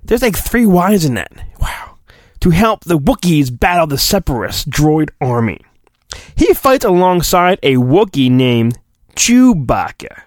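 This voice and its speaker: A man's voice: fairly high pitch, big pitch swings, measured pace, dry recording, studio quality. A male podcast host giving a mock-dramatic storybook reading, with hammy emphasis and rambling joking asides. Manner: hammy, exaggerated emphasis, digressive.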